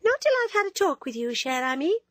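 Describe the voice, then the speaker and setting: A woman's voice: high-pitched, sing-song, fairly quick, fairly bright tone, no echo, clean studio sound, voice pushed up. A woman voicing a vain, teasing young woman who gushes and drops French words into her talk.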